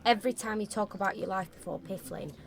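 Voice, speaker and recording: An actress playing a blunt, deadpan young British woman in an audio sitcom. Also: mid-range voice, quick pace, close to an everyday voice. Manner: deadpan, direct. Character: blunt, unimpressed young working-class woman. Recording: clear cafe crowd bustle behind.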